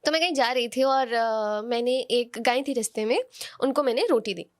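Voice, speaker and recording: A high-voiced woman talks as a card reader on a podcast. She gives spiritual guidance in an instructive, conversational way, addressing listeners directly and checking in with small rhetorical questions. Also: normal speed. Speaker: oracle card reader, podcast guest giving horoscope guidance.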